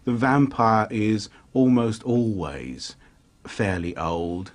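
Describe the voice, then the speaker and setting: A man giving commentary in an interview, deliberate and emphatic. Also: fairly low pitch, expressive. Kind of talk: spoken commentary.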